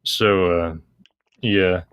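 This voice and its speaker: A man's voice: deep voice, fairly even intonation, drawn-out words, a bit bright, clean tone. A man chatting casually as an interview guest, explaining things in a hesitant, rambling way. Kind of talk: casual interview chat about visual novels.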